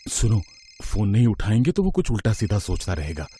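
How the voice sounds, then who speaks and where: A man playing a practical middle-aged father in a moral story, speaking in a measured, firm way. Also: fairly low pitch, very animated, warm tone, a little hiss or hum. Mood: calm, concerned.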